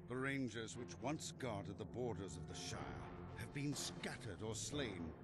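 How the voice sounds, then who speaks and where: A grave old male wizard, played by an actor tells of war and peril in a fantasy game. His delivery is solemn and measured, heavy with portent. Loud music plays behind him throughout. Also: medium pitch, animated, close to an everyday voice. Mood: worried, brooding. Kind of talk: dramatic scripted fantasy game narration.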